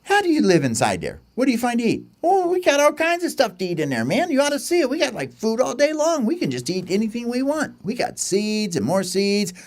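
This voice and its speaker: A man's voice: very high-pitched, wildly swooping pitch, a little fast, hardly any pauses, voice pushed up, exaggerated voice. A man voicing Hope, a cheerful, naive pet bird in a children's story. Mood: eager.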